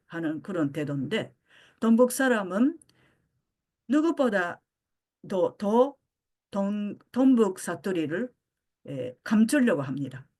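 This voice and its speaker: An older woman born in Japan giving a philosophy lecture, pausing often with fillers as she searches for words. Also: medium pitch, a little mellow. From Japan (Osaka). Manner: frequent hesitation fillers, halting.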